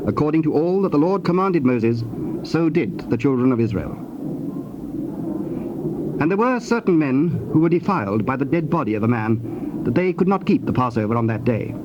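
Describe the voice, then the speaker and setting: A man's voice: medium pitch, very animated. Formal male scripture narrator, noisy dull tape. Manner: measured, formal.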